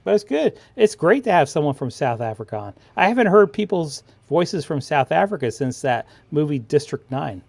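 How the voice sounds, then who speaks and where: A man's voice: on the high side, sing-song, normal speed, creaky, few pauses, no room sound. A man in casual conversation on an online livestream panel.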